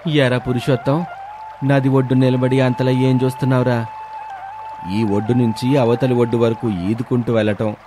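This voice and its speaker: A man's voice: medium pitch, natural voice. A man playing a casual, teasing village friend who questions familiarly in a storybook tale.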